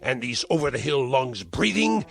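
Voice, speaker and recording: An actor playing a spiteful, frail old uncle in a vintage television drama, speaking in ornate, sardonic, theatrical phrases. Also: male voice, mid-range voice, very animated, moderate pace, gravelly, faint music behind. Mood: gloating. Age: older.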